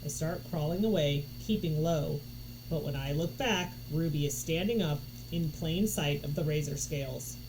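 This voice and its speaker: Woman reading a storybook out loud, expressive, kinda low voice, noisy recording. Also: normal speed. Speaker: audiobook reader. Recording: noticeable background noise.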